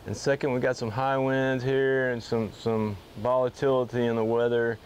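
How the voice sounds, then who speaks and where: A middle-aged man, a fly fishing guide, seated in his workshop, giving a casual weekly fishing report to camera with frequent pauses. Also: medium pitch, slight background noise, poor audio. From Texas. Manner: relaxed, easygoing, with frequent "uh" pauses. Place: indoor garage-like workshop bar, seated at a table.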